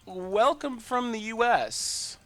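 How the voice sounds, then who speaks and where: Lively bright-voiced man streaming a card game. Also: very high-pitched, slow, soft background music. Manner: upbeat, chatty, reads and answers viewer messages. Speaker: streamer and gameplay commentator. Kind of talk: live-stream gameplay commentary with chat replies.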